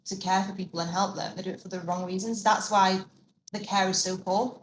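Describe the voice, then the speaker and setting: A woman, chatting as a podcast guest in an outspoken, lively way. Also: medium pitch, echoey room, slight background noise, poor audio. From Poland. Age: 20s. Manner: outspoken, lively, quick to laugh. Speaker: podcast guest, fitness coach. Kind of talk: casual chat. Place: podcast studio.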